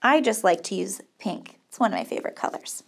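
A woman's voice: mid-range voice, lively intonation, a little fast, vocal fry, slightly rough. A middle-aged woman hosting a children's craft tutorial as a camp counselor, encouraging and upbeat as she talks to kids. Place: small studio set, indoors.

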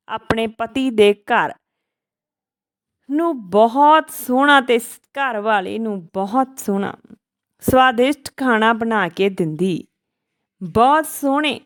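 A woman reading a scripted story as a storyteller, phrase by phrase in a measured way, with the odd stumble. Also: on the high side.

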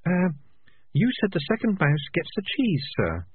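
A man's voice: sits high, wildly swooping pitch, moderate pace, husky, dry recording, voice pushed up, exaggerated voice. A man voicing a nervous young female rat recruit who hesitates and stammers, heard through lo-fi phone-like audio. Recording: lo-fi phone-like sound.

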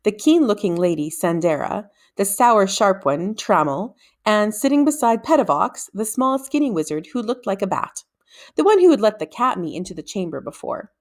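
A middle-aged woman reading a children's novel aloud in a measured, deliberate storytelling manner. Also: mid-range voice, very animated. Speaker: read-aloud narrator. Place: small room at home, indoors. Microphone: desktop microphone in front of her.

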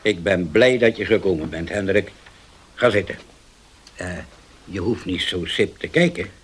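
A slow, husky-voiced old uncle. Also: male voice, low voice, animated, background noise. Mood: grave. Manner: slow, deliberate, dropping to near-whispers. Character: old uncle, formerly dangerous, now solemn and changed. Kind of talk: 1970s radio drama acting.

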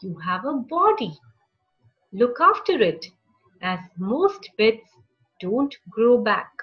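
A high-voiced woman reads a storybook aloud to young children at a slow, unhurried pace. Her intonation swings expressively from phrase to phrase. The recording is slightly dull, with music playing behind her. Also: very animated. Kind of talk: read-aloud picture book narration for young children.

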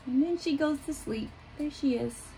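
A woman with a fairly high voice reads a picture book aloud to young children. Her storytelling is simple and deliberate, with small asides about the pictures. She is recorded in a small room with noticeable noise behind her. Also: warm tone, poor audio. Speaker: school staff member reading aloud to pupils. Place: small home office room, indoors.

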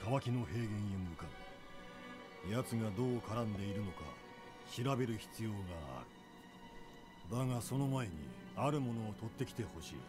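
A man playing a stern, world-weary mentor in a dubbed video game, speaking gravely and dismissively. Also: on the low side, normal speed, music in the background, close to an everyday voice. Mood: businesslike.

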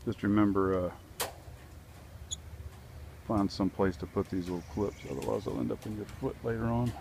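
A man's voice: lowish voice, expressive, normal speed, gravelly, noisy background. A man working outdoors in a wooded backyard, talking through his fence job into a handheld camera in a plain, practical way, with fillers as he thinks aloud. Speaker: DIY vlogger. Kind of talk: casual how-to narration. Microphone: handheld camera mic.

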